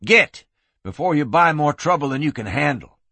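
A man's voice: fairly high pitch, wildly swooping pitch, unhurried, fairly bright tone, slightly rough, dry recording, voice pushed up, exaggerated voice. A man voicing a hot-headed, blustering cowboy gunslinger in an audiobook Western, full of outrage and frontier slang. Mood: snarling bluster.

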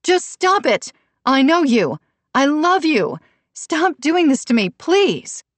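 A woman's voice: sits high, big pitch swings, a little slow, no room sound, pitched above the performer's own voice, full character voice. A woman narrator voicing a worried, exasperated, pleading pregnant mother in an audiobook. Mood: desperate, pleading.

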